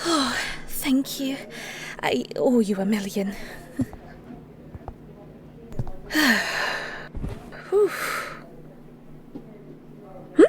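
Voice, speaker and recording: A woman's voice: fairly high pitch, lively intonation, drawn-out words, pauses often. A woman playing a flustered, sweet young Scottish shepherdess in an intimate audio roleplay, bashful, stammering and rambling. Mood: shy, bashful.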